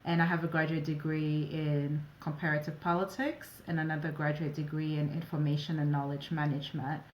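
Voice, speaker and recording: Woman, 40s, native French speaker, deep voice, echoey room, faint background noise. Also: flat delivery, brisk. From Ivory Coast and Ghana. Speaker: guest, operations advisor. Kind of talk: casual interview answers.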